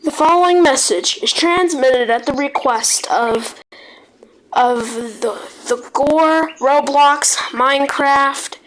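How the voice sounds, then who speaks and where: A woman speaking in an amateur, haltingly delivered way, often repeating words, as a homemade video narrator. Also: high-pitched, a bit bright. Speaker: video creator narrating a made-up emergency alert scenario. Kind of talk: amateur emergency alert read-out mixed with casual gameplay chat. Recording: clearly noisy home recording with clicks.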